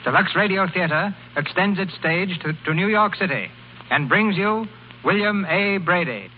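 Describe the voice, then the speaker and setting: Male radio announcer, formal, slightly high, tinny old recording. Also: expressive, normal speed, slight background noise.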